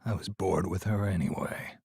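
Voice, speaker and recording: An actor playing a gruff, scornful demon hunter in a video game, with a rough, curt, menacing delivery. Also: male voice, deep voice, normal speed, darkish tone, no room sound, clean studio sound, exaggerated voice. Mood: cold, threatening. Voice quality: gravelly, rough.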